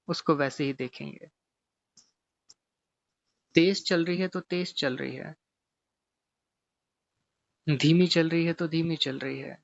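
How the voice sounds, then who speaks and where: A man teaching guided meditation, giving gently repetitive, step-by-step instructions. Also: fairly high pitch, gravelly, no echo, dull audio.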